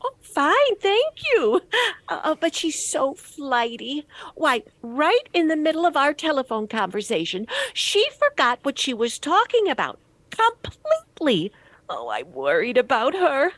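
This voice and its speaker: A woman's voice: high voice, very animated, unhurried, a bit bright, no room sound, full character voice. An actress playing a flighty, absent-minded older landlady who dithers and stammers, in vintage radio comedy style. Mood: fussy, chatty.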